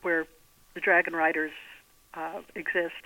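A woman speaking as an author guest in an interview, explaining things articulately in measured phrases. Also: lowish voice, flat delivery, pushed and bright, no room sound, low-quality recording. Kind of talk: interview conversation.

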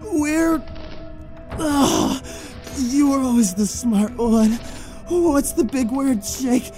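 An actress playing a frail, affectionate sibling in an audio drama, speaking in a halting, tender way. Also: female voice, sits high, lively intonation, dark tone, faint music behind, full character voice. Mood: tender, weak. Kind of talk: emotional audio drama acting.

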